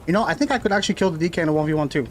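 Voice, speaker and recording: A man streaming a game live, rambling casually and thinking out loud as he plays and answers chat. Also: a little high, racing, slight background noise. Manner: rambling, laid-back, thinks aloud with fillers.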